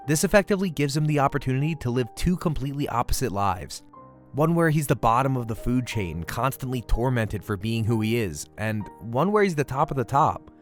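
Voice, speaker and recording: Expressive male video reviewer over music. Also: mid-range voice. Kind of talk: scripted review narration. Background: background music.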